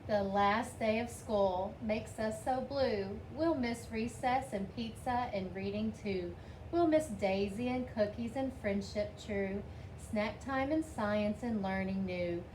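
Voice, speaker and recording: A woman's voice: medium pitch, slow pace, darkish tone, roomy sound, poor audio. A woman reading a picture book aloud expressively, lifting her voice for the children's lines. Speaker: storybook reader. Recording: faint background noise.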